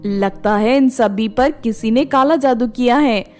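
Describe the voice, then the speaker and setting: An anxious village mother, acted by a woman narrator, speaks with concern in a fairly high voice. It is a storybook part with light music behind. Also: unhurried, darkish tone, lightly acted. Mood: worried resolve. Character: worried village mother of a grown girl. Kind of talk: storybook character voice.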